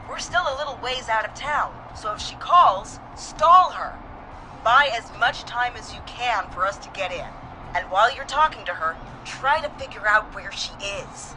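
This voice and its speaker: A woman's voice: medium pitch, lightly acted, rough recording. A woman playing a confident, folksy small-town police deputy, speaking with casual resolve in a dramatic scene. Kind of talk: dramatic game voice acting.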